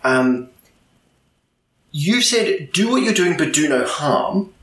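A man's voice: a little high, sing-song, slow, fairly bright tone, distant mic. A man hosting a casual chat, talking loosely and poking fun at himself, with plenty of fillers. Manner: loose, self-deprecating, lots of fillers. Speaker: podcast host. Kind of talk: casual conversation. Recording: echoey room, away from the mic.

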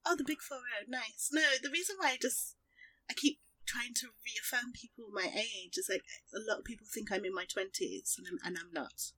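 A woman's voice: on the high side, brisk, bright, forceful tone, husky, no room sound, poor audio. A woman in her thirties, a guest on an interview, who talks about herself in a chatty, self-deprecating way. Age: 30s. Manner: self-deprecating, rambling with restarts. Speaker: interview guest, artist and streamer. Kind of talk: casual interview chat.

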